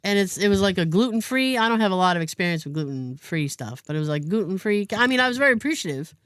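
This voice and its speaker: American lady podcast host telling a story, middle pitch, dry close mic. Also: fast, no echo. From United States. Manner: animated storyteller, acts out other people's lines. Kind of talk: casual storytelling chat.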